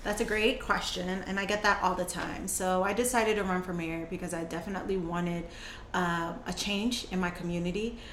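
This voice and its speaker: A woman's voice: lowish voice, steady tone, normal speed, a bit bright. A young woman, a guest on an interview show, telling her story in an earnest, conversational way and laughing easily. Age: young adult. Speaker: guest, climate justice program manager. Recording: echoey room with faint noise.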